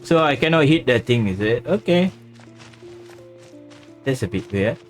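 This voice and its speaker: Lively man streaming a game. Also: mid-range voice, measured pace, faint music behind. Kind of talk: casual live gameplay commentary.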